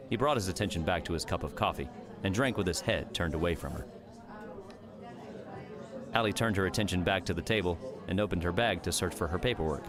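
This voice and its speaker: A man narrating a scripted audiobook story in a measured, dramatic storytelling manner. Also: low-pitched.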